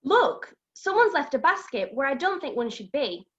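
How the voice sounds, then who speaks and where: A woman's voice: sits high, big pitch swings, moderate pace, fairly bright tone. A woman playing a haughty, curious young princess in rhyming pantomime, on a lo-fi recording. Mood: curious, surprised. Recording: dull lo-fi sound.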